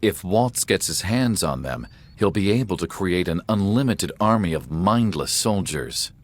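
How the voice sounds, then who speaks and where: Deep-voiced, stern rebel leader. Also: male voice, animated, a little slow. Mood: stern, authoritative. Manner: serious, authoritative. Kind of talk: video game voice acting.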